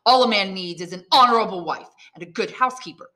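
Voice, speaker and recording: A woman reader plays a brooding young suitor in a classic novel, jealous and rejected. The delivery is intense and pleading, with a bright edge. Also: medium pitch, fast talker, close to an everyday voice.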